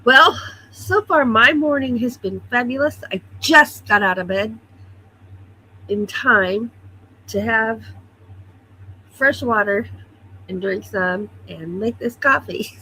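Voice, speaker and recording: Woman, livestream host, chatty, calls out viewers by name, slightly stuffy, bright unhurried voice, faint hum behind. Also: fairly high pitch, lively intonation, rough recording. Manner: chatty, greets viewers one by one. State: a little stuffy. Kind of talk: casual morning chat reading viewer comments. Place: home, at her computer.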